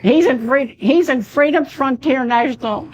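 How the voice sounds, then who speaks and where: A woman's voice: high voice, normal speed. A woman losing her voice as she talks at a public meeting in a conversational way, full of fillers.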